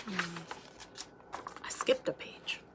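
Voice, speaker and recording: A woman reading a children's picture book aloud like a teacher, with expressive, dramatic delivery. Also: slow pace, very noisy. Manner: expressive, dramatic sound words and hushed moments.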